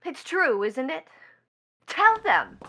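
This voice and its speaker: Woman doing a scornful young stepdaughter in a stage play, high voice, really animated and taunting. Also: slow, full character voice. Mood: insistent, scornful. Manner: taunting, dramatic. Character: bitter, mocking, brazen young stepdaughter in mourning. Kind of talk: theatrical stage play acting.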